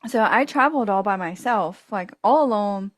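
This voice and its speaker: A woman's voice: medium pitch, normal speed, dry recording. A woman hosting a podcast, telling a travel mishap with frequent laughter and acted-out dialogue. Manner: animated, laughing storyteller acting out voices in her story. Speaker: podcast host. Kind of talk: casual travel storytelling.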